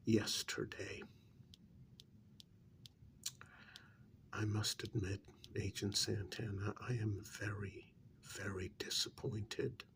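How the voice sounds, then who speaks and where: A man playing a stern, disappointed senior agent of a secret government agency in a soft-spoken ASMR role-play, breathy and gravelly, with a deliberate, formal, condescending manner. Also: fairly low pitch. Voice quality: breathy, half-whispered, gravelly.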